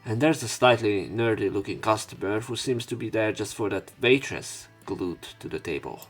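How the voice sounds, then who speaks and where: A man's voice: on the low side, normal speed, faint music behind. A man hosting a let's play, reading a visual novel aloud theatrically and adding casual commentary.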